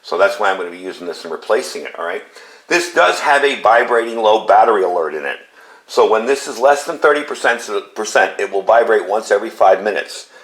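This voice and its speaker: A man's voice: fairly low pitch, lively intonation, fairly quick, a bit bright, echoey room. A man reviewing a flashlight in a casual, chatty way, with a scratchy voice from a cold, often checking in with "okay?". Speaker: product reviewer. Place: home garage and yard.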